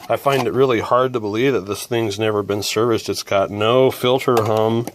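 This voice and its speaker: A man's voice: fairly low pitch, fairly even intonation, moderate pace, background noise. A man giving a casual hands-on repair demonstration, musing and thinking aloud as he works. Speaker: radio repair hobbyist presenter.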